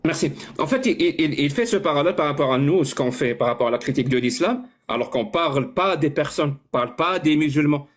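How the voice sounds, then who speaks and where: A man's voice: fairly high pitch, expressive, fairly quick, hardly any pauses. A man arguing in a heated online debate, insistent and pressing, often stumbling over and repeating words as he pushes his point. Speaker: debate participant.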